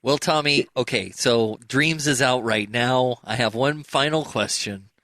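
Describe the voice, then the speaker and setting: A man's voice: medium pitch, measured pace, bright, forceful tone, no echo. A man hosting an interview show in a casual, chatty style. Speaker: interview host.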